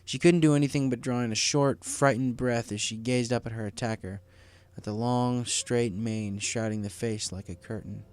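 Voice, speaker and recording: A man's voice: mid-range voice, steady tone, normal speed, darkish tone, smooth. A man reading a scripted story as its narrator. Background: background music.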